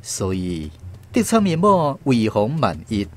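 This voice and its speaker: A man's voice: on the low side, wildly swooping pitch, a little slow. A man with a Taiwanese accent reading scripted story narration for a radio drama.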